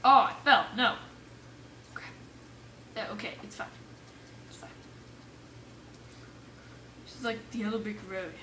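A woman giving casual let's play commentary, excitable, exclaiming and muttering to herself as she plays. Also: medium pitch, measured pace, long pauses. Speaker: gamer playing an adventure map for viewers. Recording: roomy echo, distant mic, faint noise.

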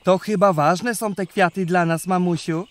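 A woman's voice: lowish voice, steady tone, normal speed, natural voice. A woman voicing a curious little boy bunny who asks eager questions in a children's audio drama.

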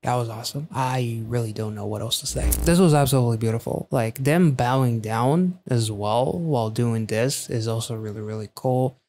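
Man, thirties, mid-pitched voice, casual commentary, halting and rambling, close-miked in a small studio. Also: a little mellow, slight background noise. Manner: halting, rambling, thinking aloud. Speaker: reaction video host. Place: small quiet indoor home studio. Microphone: close studio microphone.

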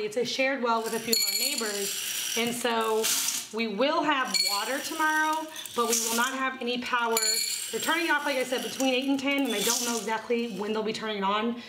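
A chatty woman in her thirties walks through her cooking plans, her voice a little high. She talks from across an echoey home kitchen, and pots and pans clatter loudly behind her. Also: normal speed, heavy background noise. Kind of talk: casual talk to camera while cooking. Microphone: camera on a tripod across the kitchen.

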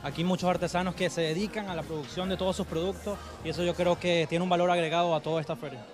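A man's voice: high voice, fairly even intonation, fast talker. A man with a Chilean accent, an event organizer answering a reporter in an agreeable, eager way. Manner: eager, agreeable, repeats "claro". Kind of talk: on-camera promo interview. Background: background music.

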